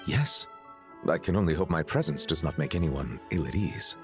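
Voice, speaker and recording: Very deep, formal game judge. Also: male voice, lively intonation, over music. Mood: formal, reserved. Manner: formal, measured, slightly awkward when flustered. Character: courteous, formal, earnest male dragon chief judge. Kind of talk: video game voice acting.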